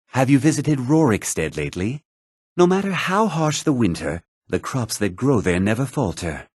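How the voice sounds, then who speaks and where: A self-important, gossipy townsman from a fantasy video game. His husky voice runs a little low and swings widely in pitch, with articulate, theatrical phrasing. The sound is close and dry. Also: darkish tone, dry recording. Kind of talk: scripted fantasy game character dialogue.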